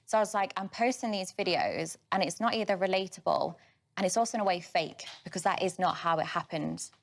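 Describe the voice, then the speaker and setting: A woman in her twenties explains herself in an interview. She speaks in a matter-of-fact way, and her voice sits a little low for a woman. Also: fairly quick, creaky. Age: 20s. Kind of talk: interview answers.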